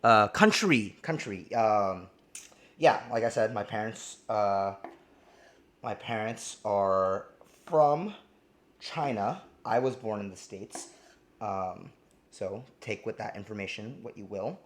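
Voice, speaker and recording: A man's voice: fairly low pitch, expressive, takes it slowly, a bit bright. A man from New Jersey hosting a live cooking stream, talking casually and rambling with lots of ums and restarts. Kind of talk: casual live cooking demo, reading chat.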